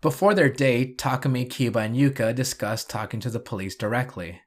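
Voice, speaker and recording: A man's voice: mid-range voice, fairly quick. A man narrating a scripted video review and recap, with dry, sarcastic asides.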